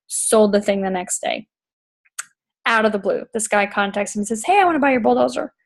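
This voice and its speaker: A woman's voice: mid-range voice, fairly quick, creaky. A woman hosting a chat show, reading from a book and explaining it in an enthusiastic, emphatic way that repeats points, with a tickle in her throat. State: throat tickle, occasional coughs. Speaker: podcast host.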